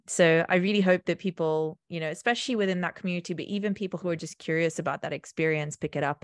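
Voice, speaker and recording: A woman's voice: on the low side, dry recording, studio quality. A woman hosting an interview, asking questions in an encouraging, friendly way. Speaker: podcast host. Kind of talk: casual interview chat.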